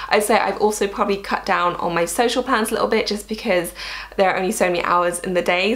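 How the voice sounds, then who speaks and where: A woman's voice: mid-range voice, a bit bright. Chatty young British woman. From Wales, United Kingdom. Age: young adult. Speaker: YouTube vlogger. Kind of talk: casual chat, Q&A to camera. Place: bedroom, indoors.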